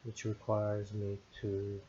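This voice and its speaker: A man's voice: fairly low pitch, completely flat delivery, slow, dark tone, highs cut off, slight background noise. A man of thirty-nine whose first language is Korean, giving a casual, thoughtful spoken reflection on a book, with many restarts and fillers. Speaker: book reviewer.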